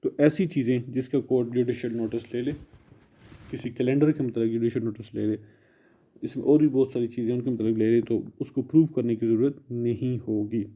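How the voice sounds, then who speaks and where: A man's voice: medium pitch, steady tone, normal speed, dark tone. A man with a Pakistani accent giving an explanatory exam-prep lecture, switching between two languages and restating points in other words. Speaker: law lecturer. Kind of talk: exam-prep lecture mixing Urdu and English. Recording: muffled, dull lo-fi audio.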